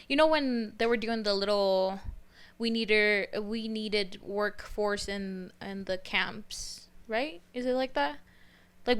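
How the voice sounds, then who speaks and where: A young woman from Mexico, a native Spanish speaker, talks as a podcast guest in a clear, smooth voice at a middling pitch. She hesitates and restarts often, filling her sentences with "like" and "um". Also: a bit bright. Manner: hesitant, many fillers and restarts, laughs often. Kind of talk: casual chat.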